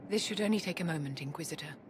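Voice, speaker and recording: A woman acting a haughty, genteel court enchantress from a fantasy video game. Her voice sits fairly low, and she speaks in measured, formal phrases with lofty courtesy. Clear background noise and ambience surround her. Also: lightly acted. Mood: gracious, composed.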